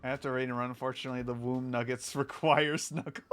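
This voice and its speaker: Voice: mid-range voice, fairly even intonation, quick pace, a bit bright, soft background music. A streamer chatting casually and playfully over a game, with silly banter into a close microphone. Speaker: live streamer. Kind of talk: casual gameplay stream chat.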